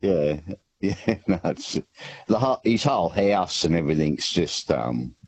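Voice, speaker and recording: A man's voice: low voice, very animated, measured pace, a little mellow, a little hiss or hum. A man calling into a radio quiz, rambling and hesitant, trailing off and restarting his thoughts. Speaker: caller.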